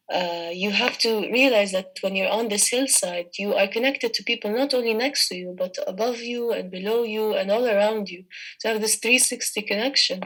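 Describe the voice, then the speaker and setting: A young woman in her twenties from Jordan giving a slide presentation over a video call, thoughtful and pausing often on fillers. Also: mid-range voice, fairly bright tone, low-quality recording. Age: 20s. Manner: thoughtful, with frequent filler pauses.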